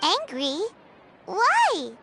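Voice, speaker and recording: Tiny, extremely squeaky excitable companion. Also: female voice, drawn-out words, vocal fry, soft background music, full character voice. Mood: startled, curious. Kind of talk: video game voice acting.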